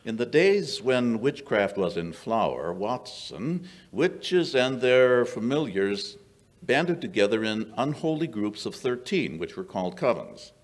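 An older man acting a shrewd, dry-witted gentleman detective in old-time radio style, with measured, theatrical storytelling. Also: medium pitch, sing-song. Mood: sardonic, grave. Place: small indoor stage before an audience. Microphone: vintage-style stand microphone.

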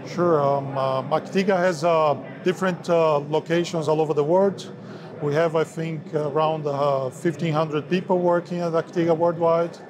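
A man in his forties speaking haltingly with many fillers, interviewed at a trade fair on a lapel mic. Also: a little high, steady tone. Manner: halting, many filler sounds, slightly non-native phrasing. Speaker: sales head of an ink and coatings firm. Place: busy trade fair hall, indoors. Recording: crowd noise of a trade fair. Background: crowd chatter.

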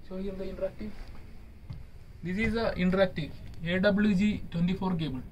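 A young man on a ship's crew demonstrating hotel TV setup in a small cabin, speaking halting English with fillers. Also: sits high, fairly even intonation, darkish tone, far from the mic, lo-fi sound, slight background noise. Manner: halting, explanatory, non-native English with fillers. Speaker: ship electrician demonstrating TV setup. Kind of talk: casual how-to demonstration. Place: small cruise ship cabin. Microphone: phone camera held by speaker.

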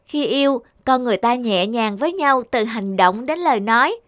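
A woman's voice: on the high side, lively intonation, moderate pace, a bit bright, no room sound, clean studio sound. A woman voicing a small child cupid in a cartoon, curious, earnest and polite like a pupil. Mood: eager, wondering. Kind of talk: cartoon dubbing.

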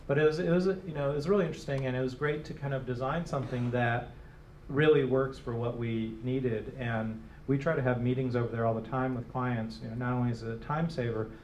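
A man's voice: mid-range voice, fairly even intonation, a little fast, darkish tone. Rambling man on a roomy handheld mic. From Detroit. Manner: rambling, many fillers and restarts. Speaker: interviewed designer. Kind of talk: casual onstage interview. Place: small gallery room, live audience. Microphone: handheld microphone. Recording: roomy, distant, faint hiss.

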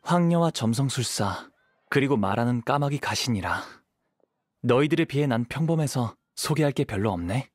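A man voicing a calm, modest young wandering swordsman in an anime game, soft-spoken and humble. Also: medium pitch, normal speed, no echo, close to an everyday voice.

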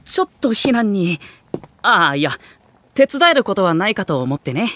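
An actor playing a polite, easily flustered teenage hero in an anime audio drama, reacting with exasperation. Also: male voice, high voice, sing-song, moderate pace, pushed and bright, a little hiss or hum, full character voice. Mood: friendly.

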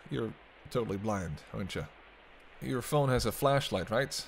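A man's voice: lowish voice, lively intonation, normal speed. A man playing a sarcastic, teasing captive vampire in casual, sweary banter for an intimate roleplay audio drama. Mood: taunting. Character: teasing, sardonic male vampire held captive by a hunter. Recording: clear background ambience noise.

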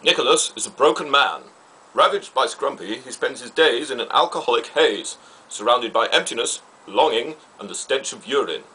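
Animated, formal vintage TV correspondent played by a man. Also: medium pitch, normal speed, poor audio. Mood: solemn, formal. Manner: formal, melodramatic, stiffly polite. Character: formal, earnest old-fashioned TV correspondent. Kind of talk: mock vintage documentary reporting.

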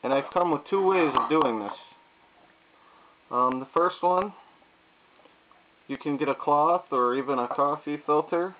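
Casting: man, plain unhurried talker with many filler pauses, slightly higher voice, thin tinny camera sound with faint hiss. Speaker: YouTube vlogger. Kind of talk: casual gear demonstration. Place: indoors at a wooden table.

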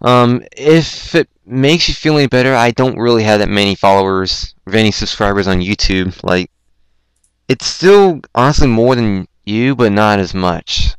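Young male host, low smooth voice, rambling, self-deprecating, a little hoarse, dry close mic. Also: normal speed, no echo, poor audio. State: throat going hoarse. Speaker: podcast host. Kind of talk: casual chat on a Skype call.

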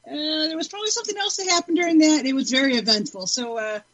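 A woman's voice: high-pitched, quick pace, a bit bright. A woman telling anecdotes casually as a guest panelist on a podcast. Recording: dull lo-fi sound.

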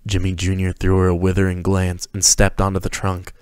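A man's voice: low voice, monotone, fairly quick, a little mellow, clean tone, dry recording. A man reading scripted fiction aloud as the narrator of a dramatic story.

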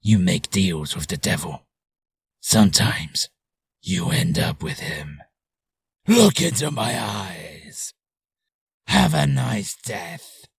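A man voicing a menacing demonic avenger with a rasping growl, grim and threatening, for a game character reel. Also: deep voice, lively intonation, slow pace, pauses often, no echo, clean studio sound, pitched below the performer's own voice, heavily acted. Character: menacing male demonic avenger passing judgment.

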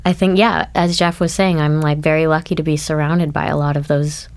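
A woman's voice: low voice, level tone, brisk, a little mellow, no echo. A woman guest chatting casually in a panel conversation.

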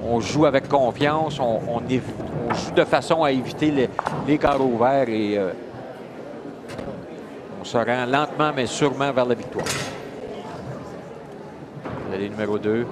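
A man's voice: medium pitch, a little slow, long pauses. Hesitant male sports commentator. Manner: hesitant, many fillers and restarts. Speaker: color commentator. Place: shopping mall bowling event. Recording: loud crowd and noise behind.

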